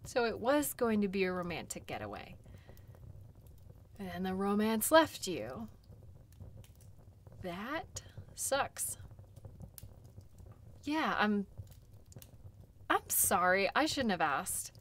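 Female, actress as kind outdoor sled guide for audio role play; gentle, reassuring, conversational, takes her time. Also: mid-range voice, sing-song, slow pace, pauses often. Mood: sympathetic. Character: caring, chatty female dog-sled guide tending wounds.

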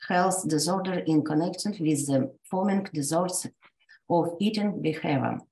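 A woman from Russia, a native Russian speaker with a Russian accent, reading a medical conference talk in a halting way with frequent restarts. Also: on the low side, warm tone, roomy sound, low-quality recording. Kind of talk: reading an academic presentation.

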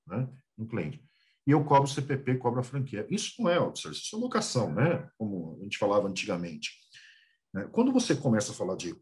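Lively Brazilian man on a video call. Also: mid-range voice. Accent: Brazilian Portuguese. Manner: lively, repeats small words, clears throat. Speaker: guest speaker. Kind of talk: business talk.

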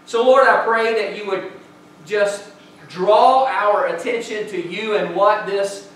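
Middle-aged pastor, high-pitched man, folksy host, heard from far across an echoey sanctuary. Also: slow pace. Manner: relaxed, folksy, with frequent uh fillers. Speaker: pastor hosting a church program. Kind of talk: church announcements and prayer. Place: large church sanctuary with a stage. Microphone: far camera, speaking from the stage.

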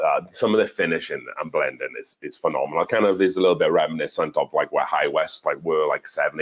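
A middle-aged British man speaks in a very deep, low voice. He is a guest on a livestream, talking in a casual, conversational way. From Wales, UK. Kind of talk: casual chat. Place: indoor home bar.

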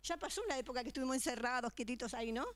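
Older argentine lady hosting tv, talks fast and gushes, kinda high voice. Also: no room sound. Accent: Argentine Spanish. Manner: chatty, effusive, talks over the guest. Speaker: TV music show host. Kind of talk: casual on-air chat. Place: TV studio. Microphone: handheld mic.

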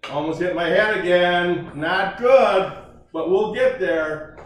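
Slow-talking folksy man in an echoey kitchen. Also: high-pitched, fairly bright tone, recorded from a distance. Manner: folksy, jokey, chatty while cooking. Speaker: home cooking show host. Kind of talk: casual cooking demonstration. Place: large home kitchen indoors. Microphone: camera mic at a distance.

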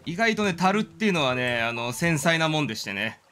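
A man's voice: a little high, sing-song, fairly quick, bright, forceful tone, soft background music. A man casually commentating on a video game, reacting aloud and talking to the game as he plays. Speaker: streamer playing a video game. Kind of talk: casual game commentary with dramatic line readings.

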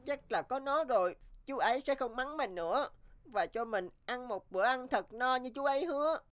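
High-voiced naive cartoon boy. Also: expressive, normal speed. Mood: trusting. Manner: earnest, childlike. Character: naive, trusting young orphan boy. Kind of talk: animated fairy-tale voice acting.

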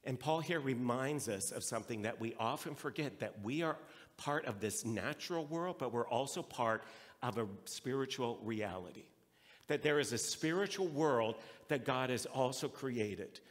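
A man's voice: medium pitch, lively intonation, normal speed. A man preaching a sermon to a congregation as a pastor, explaining and posing rhetorical questions. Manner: explanatory, asks rhetorical questions and answers them. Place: large reverberant room, live preaching. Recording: reverberant hall sound.

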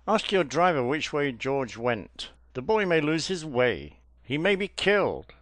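Woman reader playing an old father, low, pleading, audiobook. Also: very animated, unhurried, slight background noise. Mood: frantic. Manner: pleading, repeated cries. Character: anxious old man fearing for his son. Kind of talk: audiobook character voice.

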